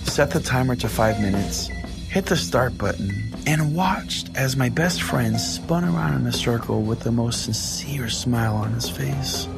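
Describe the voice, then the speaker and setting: A man's voice: mid-range voice, expressive, a little slow, creaky. A man hosting a comedy podcast, telling it in a theatrical, mock-solemn way. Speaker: podcast host. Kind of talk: comic dramatic storytelling and show chat. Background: music.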